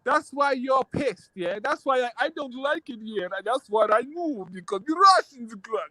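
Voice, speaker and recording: A man's voice: extremely high pitch, expressive, normal speed, pushed and bright, dry recording, low-quality recording. A man in a heated debate, combative and talking over others, repeating his points. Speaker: debate guest.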